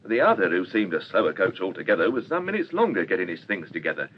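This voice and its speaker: Courteous cafe proprietor, lowish animated voice. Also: male voice, fast talker. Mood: chatty, explaining. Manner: polite, chatty, explanatory. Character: courteous, talkative, bewildered English cafe proprietor. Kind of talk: radio drama acting. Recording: dull radio recording with faint hiss.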